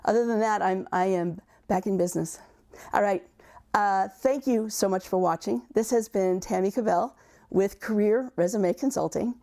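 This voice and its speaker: A middle-aged woman with a raspy voice from recent illness, a career coach giving conversational livestream advice and often repeating words as she thinks. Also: medium pitch, warm tone.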